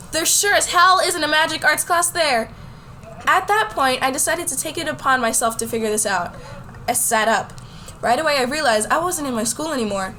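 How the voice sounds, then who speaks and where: A woman voicing a bold, competitive high school girl telling her own story in a casual, wry way in a radio drama, with some background noise. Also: fairly bright tone. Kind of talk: scripted first-person radio drama narration.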